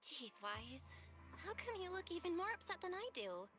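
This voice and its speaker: An actress playing an earnest, inquisitive young woman in a video game, with expressive delivery. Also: extremely high pitch, moderate pace, full character voice. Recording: game music underneath.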